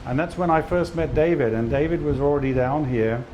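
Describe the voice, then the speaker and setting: A man's voice: fairly high pitch, a little fast, dull audio, background noise. A man hosting a casual outdoor interview, rambling and correcting himself as he sets up friendly questions. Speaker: interviewer and channel host. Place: beach outdoors.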